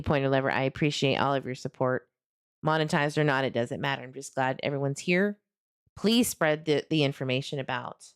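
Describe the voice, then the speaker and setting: Casting: female livestream host, low register, casual earnest commentary on true crime. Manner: conversational, earnest, addresses viewers directly.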